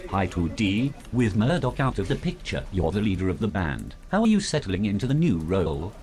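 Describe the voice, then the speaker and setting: Deep voiced guy doing scripted interview intros and questions, very animated intonation. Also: brisk. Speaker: interviewer and narrator.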